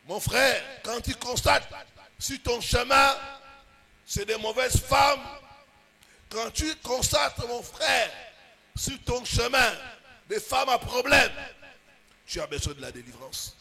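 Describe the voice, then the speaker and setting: A man preaching as a pastor, with emphatic bursts and drawn-out pauses. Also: very high voice, lively intonation, creaky, sharp tone. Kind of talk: sermon.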